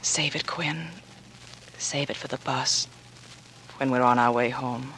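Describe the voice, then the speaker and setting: An actress playing a plucky, skeptical young American woman in 1940s radio drama, a sharp-witted amateur sleuth who is brisk, questioning and insistent. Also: a bass voice, steady tone, full character voice. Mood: resolute. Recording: noisy old radio drama recording.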